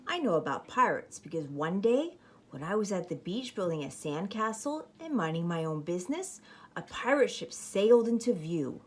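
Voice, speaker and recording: A woman storyteller reading a children's picture book aloud with expressive, emphatic phrasing. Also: on the low side, normal speed, slightly rough.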